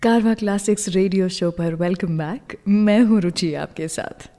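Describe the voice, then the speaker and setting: A woman's voice: mid-range voice, moderate pace, mellow. Woman radio host in long reverb. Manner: conversational, puts questions to listeners. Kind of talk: scripted radio storytelling between songs. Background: music.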